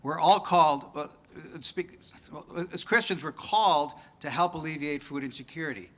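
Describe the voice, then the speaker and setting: A man's voice: fairly high pitch, moderate pace, fairly bright tone. A man, an economist on a research panel, speaking in a self-deprecating way with light laughs. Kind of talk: conference panel talk.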